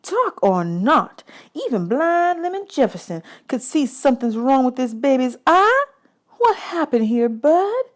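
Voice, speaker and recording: Motherly female vocal stylist for a storybook, woman reader performing, drawling and exclaiming, often lifted in pitch. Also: big pitch swings, a little slow. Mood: alarmed, indignant. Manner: drawling endearments, exclaiming when concerned. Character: warm, motherly adult woman jazz singer, expressive and doting.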